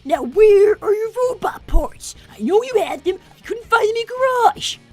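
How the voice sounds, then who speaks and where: A woman acts out a tiny cartoon fox boy of five with an extremely high, swooping voice. He is smug and scheming, speaking in pompous, formal phrases, very close and dry. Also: sing-song, normal speed, dry recording, soft background music. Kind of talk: improvised character roleplay on a livestream.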